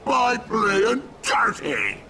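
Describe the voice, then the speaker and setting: Furious undead pirate villain: high, gravelly, wildly animated cartoon game voice over loud music. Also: male voice, extremely slow, full character voice. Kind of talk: video game cartoon acting.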